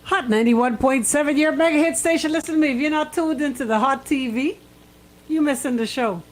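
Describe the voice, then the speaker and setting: A Bahamian woman with a fairly high voice co-hosts on the radio. She talks in casual studio banter, the way a station regular chats on air. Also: brisk. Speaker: radio co-host.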